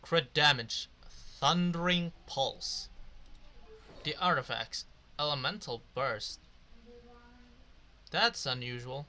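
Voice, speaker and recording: A man's voice: on the high side, expressive, slow, long pauses, pushed and bright, a little hiss or hum. A man doing casual, rambling gameplay commentary, swearing now and then. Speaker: video game streamer.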